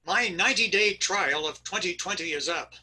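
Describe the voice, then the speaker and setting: A man's voice: high voice, moderate pace, sharp tone, breathy edge. An older man giving a performed reading of jokes and stories on a video call. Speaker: readers theater performer.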